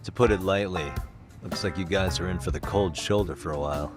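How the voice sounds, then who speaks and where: An actor playing a level-headed detective in an English game dub, measured and explanatory as he briefs his allies. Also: deep voice, music in the background, natural voice. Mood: serious, steady.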